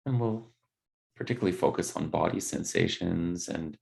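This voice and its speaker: A man leading a guided body scan meditation, speaking gently in unscripted, hesitant phrases. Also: low-pitched, normal speed, creaky.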